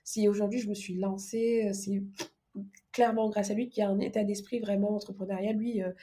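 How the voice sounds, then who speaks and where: A woman's voice: mid-range voice, level tone, fairly quick, dark tone. A woman speaking casually as a podcast guest, explaining things informally with examples. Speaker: podcast guest, organisation coach. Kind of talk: casual interview conversation.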